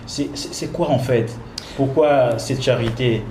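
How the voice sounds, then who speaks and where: A man's voice: mid-range voice, fairly even intonation, a little slow, darkish tone. A man hosting a community interview show, talking in a hesitant way, repeating words and slipping in English phrases. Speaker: host. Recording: echoey room with some noise.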